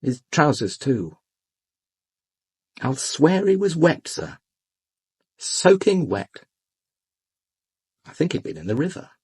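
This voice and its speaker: A man's voice: on the high side, sing-song, slow pace, long pauses, darkish tone, breathy edge, heavily acted. An actor playing an earnest, puzzled doorman in a radio drama, respectful and a little hesitant.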